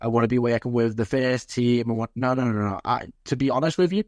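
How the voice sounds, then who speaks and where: A man's voice: medium pitch, fast, dry recording. Earnest, emphatic man in a close, dry interview. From Liverpool, England. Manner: earnest, emphatic, repeats phrases for stress. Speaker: interview guest, football coach. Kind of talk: reflective interview conversation.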